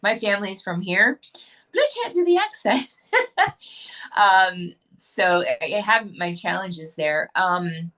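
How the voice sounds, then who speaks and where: A woman's voice: medium pitch, expressive, takes it slowly, bright, forceful tone. A woman actress chatting as a guest in a casual interview, upbeat and talkative, often restarting her sentences.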